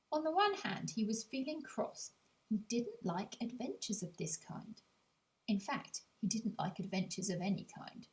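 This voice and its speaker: A woman reading her own children's audiobook in a measured, gently wry storytelling voice that speaks directly to the reader. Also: a little high, rough recording. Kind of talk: scripted audiobook narration.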